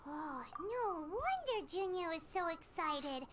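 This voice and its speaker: A woman voicing a tiny, excitable, childlike fairy companion in a video game, curious and chirpy. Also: extremely high pitch, slow pace, noisy background, full character voice. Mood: curious, chatty.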